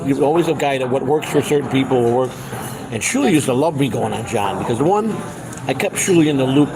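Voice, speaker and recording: A man's voice: medium pitch, rough recording. A man in his fifties hosting a casual, rambling live stream chat, often repeating himself for emphasis. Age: 50s.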